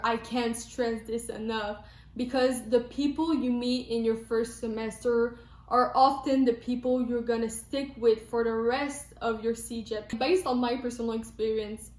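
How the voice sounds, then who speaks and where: A young woman from Quebec with a Quebec French accent, a native French speaker chatting to camera as a vlogger, emphatic and quick to restart her words. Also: a little high. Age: young adult. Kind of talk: casual chat to camera. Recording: roomy echo.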